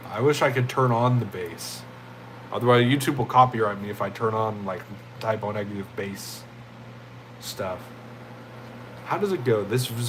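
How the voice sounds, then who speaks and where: A young man with a deep, jazzy voice, chatting casually with viewers on a livestream in a loose, rambling way. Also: fairly bright tone, slight background noise, poor audio. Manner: laid-back, rambling, thanks chatters as "my friend" and "bro".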